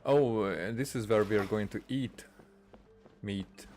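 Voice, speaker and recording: A man with a fairly low voice hosts a casual gaming video. He muses aloud haltingly, pausing as he comments on the game. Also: darkish tone, slight background noise. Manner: hesitant, halting musing aloud. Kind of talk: casual let's-play commentary.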